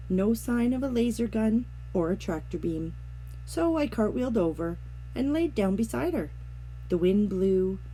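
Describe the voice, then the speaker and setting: A woman reading a children's picture book aloud, narrating with gentle, expressive storytelling. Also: fairly low pitch, slight background noise, rough recording. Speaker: storybook reader.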